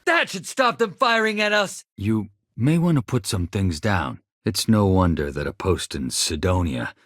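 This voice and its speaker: A man's voice: fairly low pitch, big pitch swings, unhurried, fairly bright tone, no room sound, studio quality, exaggerated voice. A man voicing an earnest, anxious space engineer in a video game, a shaken survivor who speaks politely and hesitantly. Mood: urgent shouting.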